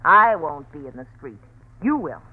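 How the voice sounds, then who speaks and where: An actress playing a scheming wife who turns into a cat, in a vintage radio drama. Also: female voice, low voice, sing-song, moderate pace. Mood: taunting. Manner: taunting, then shrieking in panic. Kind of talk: 1940s radio acting. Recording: tinny old radio recording.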